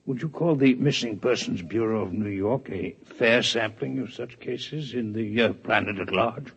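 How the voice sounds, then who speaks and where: An actor playing a courteous, coolly curious six-legged alien scientist, in formal, precise 1950s radio drama style, on a dull old broadcast recording. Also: male voice, fairly low pitch, normal speed, natural voice. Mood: polite. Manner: formal, precise, politely detached. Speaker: alien visitor.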